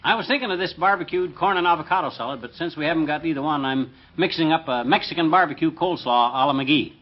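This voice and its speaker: Boastful, bumbling husband male actor, highish husky voice, folksy bluster in vintage radio comedy. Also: quick pace, close to an everyday voice. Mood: self-important. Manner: folksy, self-important, blustering. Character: excitable, boastful bumbling husband playing backyard chef. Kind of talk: 1950s radio comedy acting. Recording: dull, lo-fi old radio recording.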